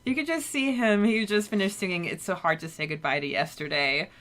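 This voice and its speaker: A woman's voice: fairly low pitch, a little fast, fairly bright tone, poor audio. A woman co-hosting a podcast in casual chat, chatty and laughing often, repeating words as she thinks. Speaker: podcast co-host.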